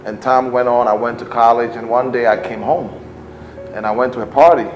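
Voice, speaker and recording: A man in his thirties with a Ghanaian accent, a bishop telling his life story as an interview guest in a relaxed way with many fillers. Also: mid-range voice, brisk, reverberant, faint music behind, rough recording.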